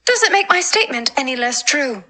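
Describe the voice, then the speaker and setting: A woman's voice: sits high, big pitch swings, fairly quick, pushed and bright, heavily acted. A woman playing a flirtatious, teasing lady ghost with a coy manner in an audiobook drama. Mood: flirty, teasing.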